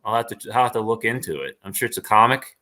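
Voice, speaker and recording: A man's voice: on the low side, expressive, fast, sharp tone. A man chatting casually as a guest on a livestream. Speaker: guest, voice actor.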